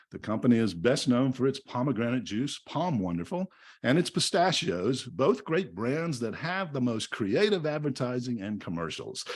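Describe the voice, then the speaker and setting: A man hosting a podcast, reading an introduction and then interviewing a guest, with a lively, emphatic delivery. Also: mid-range voice, husky. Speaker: podcast host.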